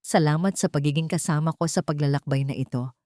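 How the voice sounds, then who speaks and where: A loving, grateful wife in a romance audio story, read by a woman narrator. Her voice is fairly low and tender, with a reflective manner. The recording is close and dry. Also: fast, mellow, dry recording, natural voice.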